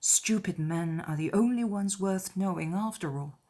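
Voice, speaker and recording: A woman voicing a spirited, witty young gentlewoman with an exclamatory, emphatic delivery in a dramatized audiobook. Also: on the low side, moderate pace, lightly acted. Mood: bitter, sardonic.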